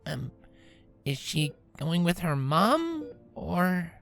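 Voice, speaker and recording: Guy doing a protective older sister pony, kinda high, soft and informal, storybook style. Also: sing-song, extremely slow, gravelly, soft background music, exaggerated voice. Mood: concerned. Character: protective big-sister pony, female, casual, caring. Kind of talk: dramatised storybook fan fiction reading.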